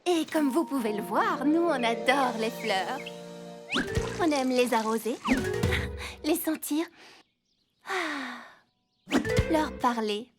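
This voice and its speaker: A woman's voice: high-pitched, animated, takes it slowly, pauses often. A woman voicing a sweet, eager young deer girl in a children's cartoon, generous, bubbly and fussily attentive. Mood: cheerful. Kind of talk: dubbed children's cartoon acting. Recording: loud music behind the voice.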